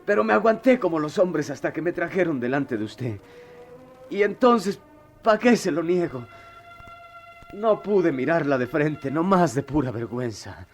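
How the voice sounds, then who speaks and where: A man's voice: a little high, animated, soft background music. An actor playing a troubled, proud, streetwise poor boy in a radio drama, with a Mexican accent and slangy, impassioned delivery. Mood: anguished, ashamed. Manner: slangy, impassioned, halting when cornered.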